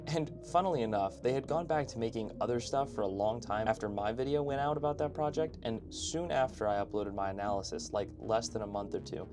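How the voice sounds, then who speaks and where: A man delivering casual, opinionated video essay commentary as a host, with informal conversational asides. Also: fairly low pitch. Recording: background music under voice-over. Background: music.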